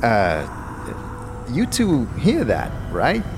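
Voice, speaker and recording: An actor playing a nervous, irreverent adventuring companion in a fantasy audio drama, stammering and halting. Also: male voice, medium pitch, huge pitch swings, noisy background, full character voice. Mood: nervous, startled. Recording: music behind the voice.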